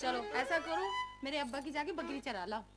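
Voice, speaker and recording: A woman's voice: high-pitched, fairly quick, music in the background, close to an everyday voice. A woman playing a nagging, unimpressed village wife in a rustic comedy skit, scolding and dryly dismissive. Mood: needling. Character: nagging, unimpressed village wife who needles her husband.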